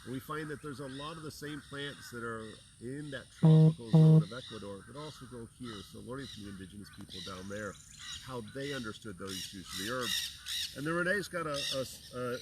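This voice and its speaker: A man's voice: medium pitch, animated, fairly quick, very noisy. A man from the Bahamas, an herbal teacher, welcoming viewers in easygoing, folksy chat before an outdoor class. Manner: easygoing and folksy, with many fillers. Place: outdoors among plants, with birds and insects.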